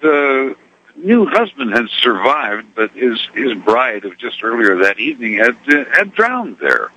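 A man calling a radio show, telling a story in an unhurried, folksy, conversational way. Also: medium pitch, very animated, pushed and bright, highs cut off. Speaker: radio show caller, trucker.

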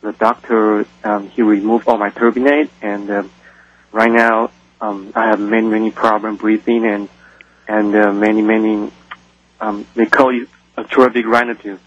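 A man from Taiwan calling into a radio show, talking haltingly and searching for words. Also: fairly low pitch, little pitch movement. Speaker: caller. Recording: muffled dry phone line.

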